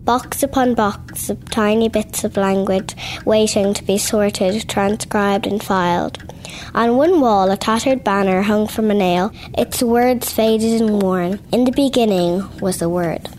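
A woman at a middle pitch reads a novel aloud, measured and even. Faint room ambience sits behind her voice. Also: slight background noise, low-quality recording. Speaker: reader.

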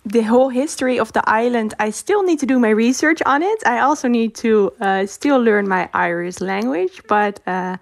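A woman's voice: a little high, expressive, normal speed, vocal fry, few pauses, no room sound. A woman with a Dutch accent is a guest on a radio show. She speaks English hesitantly and stops often to search for words. The sound is dry and close. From the Netherlands. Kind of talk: interview.

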